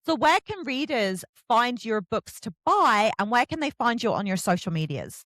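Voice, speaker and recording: Effusive, animated woman hosting a podcast. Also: a little high, normal speed, no echo. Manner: effusive, chatty, acts out little dialogues. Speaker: podcast host. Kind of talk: casual interview chat.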